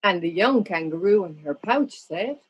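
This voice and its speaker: A woman's voice: lowish voice, lively intonation, moderate pace, slightly rough. A woman narrating a children's storybook expressively, on a dull home recording.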